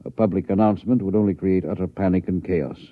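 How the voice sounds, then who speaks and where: A very deep-voiced radio-play president. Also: male voice, steady tone, narrow, tinny audio. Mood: resolute. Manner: authoritative, deliberate, hesitant fillers. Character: weighty, decisive president of a future nation. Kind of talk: 1950s radio drama acting. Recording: thin band-limited vintage radio recording.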